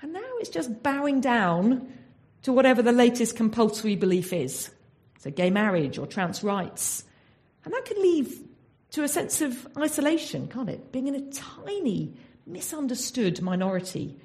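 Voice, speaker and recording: A woman's voice: fairly high pitch, big pitch swings, moderate pace, a little mellow. An English woman giving a Bible talk in an earnest teaching manner, often asking her listeners rhetorical questions. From England. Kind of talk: sermon.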